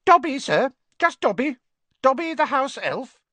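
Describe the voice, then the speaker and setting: A reader voicing a small male house elf in a storybook, high-pitched and squeaky, earnest, deferential and easily distraught. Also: very animated, a little slow, bright, forceful tone, no echo, full character voice. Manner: deferential, speaks of self in third person.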